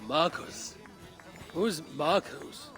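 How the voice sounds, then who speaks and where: A man's voice: a little high, drawn-out words, a bit bright, soft background music. A man playing a bold, competitive, teasing Viking warrior in a video game. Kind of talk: video game cutscene dialogue.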